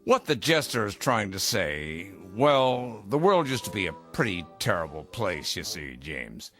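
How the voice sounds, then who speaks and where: A man's voice: lowish voice, very animated, measured pace, fairly bright tone, soft background music, exaggerated voice. A man playing an eager older underground jokester in an audio drama, coaxing a recruit in a theatrical way. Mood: wistful.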